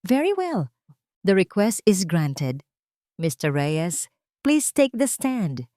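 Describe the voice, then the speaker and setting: A woman's voice: mid-range voice, sing-song, slow, mellow, no echo, studio quality. A woman voicing a stern older female judge, formal and commanding, with a Filipino accent.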